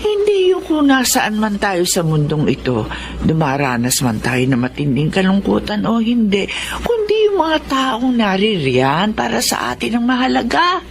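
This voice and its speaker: An actress playing a warm, grateful older wife in a radio drama, with a Filipino accent and an emotional, earnest delivery. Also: female voice, mid-range voice, wildly swooping pitch, moderate pace, vocal fry, a little hiss or hum. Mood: earnest, consoling.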